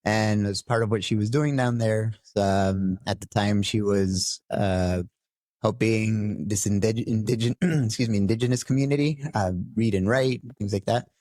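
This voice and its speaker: A middle-aged man from Costa Rica telling his life story as a podcast guest, halting and reflective. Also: lowish voice, fairly even intonation, a little mellow, no room sound. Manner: halting, many fillers and restarts. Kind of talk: interview storytelling.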